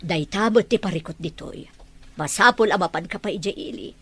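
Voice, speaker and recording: Low, husky mother played by a woman. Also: a little fast, a bit bright, no room sound. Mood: patient. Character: patient, reasoning mother staying in a remote village. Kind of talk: scripted Ilocano audio drama.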